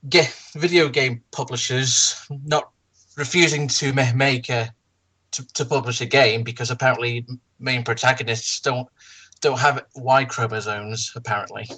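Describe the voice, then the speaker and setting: Guy chatting casually, stumbles and repeats words a lot, normal pitched voice. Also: fairly bright tone, low-quality recording. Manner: halting, stammering, repeats words. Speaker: guest co-commentator. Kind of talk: casual chat.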